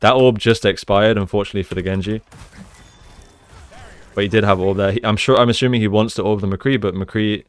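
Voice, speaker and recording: A man with a fairly low, clean voice talks through gameplay footage in a casual coaching style. He explains plays conversationally, in a smooth tone. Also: steady tone, brisk. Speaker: esports coach and commentator. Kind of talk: casual gameplay analysis commentary.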